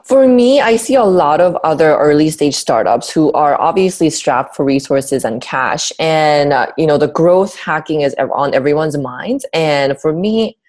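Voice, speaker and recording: A woman from South Korea, a startup founder, answering interview questions in a relaxed, chatty way with many fillers. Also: deep voice.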